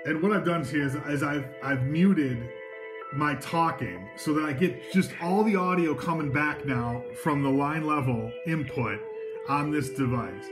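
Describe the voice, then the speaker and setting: A man's voice: on the high side, a little slow, over music, rough recording. A man giving a casual, loose product demonstration indoors, talking from behind a handheld camera with many fillers and restarts. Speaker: equipment demonstrator. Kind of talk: informal product demo. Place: indoors at a table of devices.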